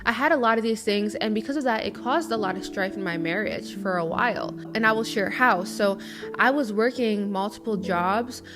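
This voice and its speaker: A woman's voice: mid-range voice, moderate pace. A woman in her early twenties, talking to camera in a chatty, earnest vlog, often saying "you know". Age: early 20s. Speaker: lifestyle vlogger. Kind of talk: casual confessional talk to camera. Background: guitar music underneath.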